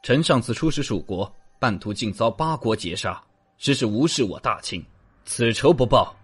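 A man playing a shrewd, courteous but indignant court strategist in an audio drama, speaking in formal, measured phrases. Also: medium pitch, animated, husky.

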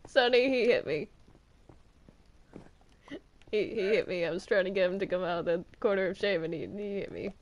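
A woman's voice: mid-range voice, normal speed, long pauses, slight background noise, lightly acted. A woman voicing a flustered male cartoon demon in an improvised game roleplay, protesting and repeating herself in stammers. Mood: defensive.

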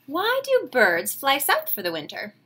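A woman, reading a picture book aloud to children with expressive, enthusiastic, chatty asides. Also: high voice, unhurried. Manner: expressive, enthusiastic, questioning the listeners. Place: small home room, indoors.

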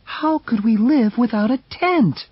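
A man voicing a fussy, tender-hearted young woman in a read-aloud story, speaking high and fretful. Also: animated, unhurried, breathy edge, no echo, muffled recording, exaggerated voice. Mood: insistent.

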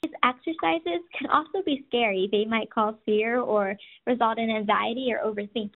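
A soft-spoken woman in her twenties giving a scripted presentation, telling stories and speaking directly to listeners. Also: fairly high pitch, moderate pace. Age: 20s. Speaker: webinar presenter. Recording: tinny phone-like audio.

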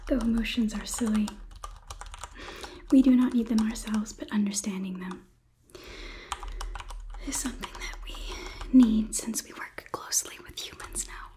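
Slow, whispering young woman in ASMR roleplay. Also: fairly high pitch, fairly even intonation. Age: 20s. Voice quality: soft, breathy whisper. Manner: formal, measured, deliberate phrasing. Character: polite, condescending robot overlord examining a human. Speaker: ASMR performer. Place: small indoor studio set. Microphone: close microphone, off camera.

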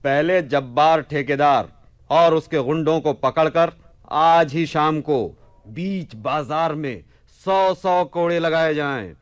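Radio drama: baffled young merchant turned mock ruler, blustery and theatrical, slightly high pitch. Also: male voice, slow, no echo. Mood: commanding. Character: bewildered young merchant mistaken for a caliph, excitable. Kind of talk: radio play acting in theatrical Urdu-flavoured dialogue.

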